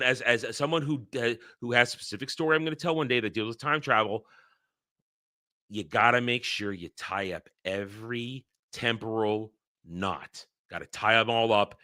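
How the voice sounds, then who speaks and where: A man's voice: fairly low pitch, bright, forceful tone, dry recording, clean studio sound. A man co-hosting a casual movie review show, talking in a loose, rambling way with frequent restarts and fillers. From Northeastern United States.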